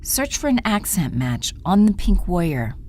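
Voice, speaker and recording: A woman's voice: fairly low pitch, very animated. A woman playing a cold, scheming alien villainess in an audio drama, speaking imperiously and sinking into menacing murmurs. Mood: commanding. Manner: deliberate, imperious, drops into breathy menacing murmurs. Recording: faint background noise.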